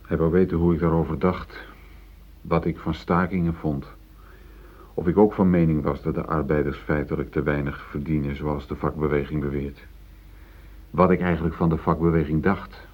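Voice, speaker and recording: An actor playing a troubled middle-aged factory worker, defensive and brooding, in a radio play. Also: male voice, rumbling low, steady tone, moderate pace, husky, exaggerated voice. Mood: defensive, uneasy. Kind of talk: Dutch radio play acting. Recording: dull, muffled old radio play recording.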